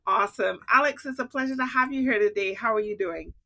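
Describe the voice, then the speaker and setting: A woman's voice: fairly high pitch, fast, bright, forceful tone, no echo, clean studio sound. A woman hosting a podcast, welcoming a guest and asking questions in an upbeat, affirming way. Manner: upbeat, affirming with frequent backchannels. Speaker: podcast host. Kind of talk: scripted show intro and interview.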